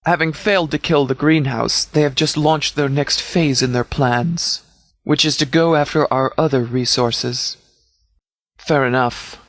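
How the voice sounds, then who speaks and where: A man playing a stern, composed sheriff in a video game, speaking in a measured, formal, authoritative way. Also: a little high, steady tone, fairly bright tone, no room sound, studio quality. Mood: businesslike briefing. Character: stern, composed male sheriff leading a post-apocalyptic militia. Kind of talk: scripted video game dialogue.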